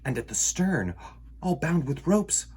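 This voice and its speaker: A man telling a children's story in an animated, theatrical way, acting out the sound words. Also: fairly high pitch, a little slow, slight background noise.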